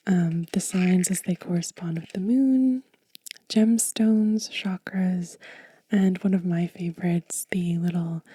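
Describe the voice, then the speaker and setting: Breathy, whispering woman, very soft and slow. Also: mid-range voice, no room sound. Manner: gentle, unhurried, pausing between items. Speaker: ASMR channel host. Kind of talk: soft-spoken whispered ASMR show and tell. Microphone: close mic in a dry space.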